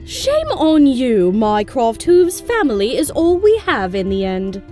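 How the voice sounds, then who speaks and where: A woman's voice: a little high, unhurried, soft background music. A woman voicing a long-suffering, motherly pony landlady, fond but chiding, in an audio drama. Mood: hurt, chiding. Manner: expressive, chiding. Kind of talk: scripted audio drama acting.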